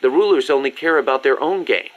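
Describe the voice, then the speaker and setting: A man's voice: mid-range voice, lively intonation, quick pace, dull audio, natural voice. A man playing a businesslike, articulate military second-in-command explaining things in a video game. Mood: serious, explanatory. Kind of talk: scripted video game briefing dialogue.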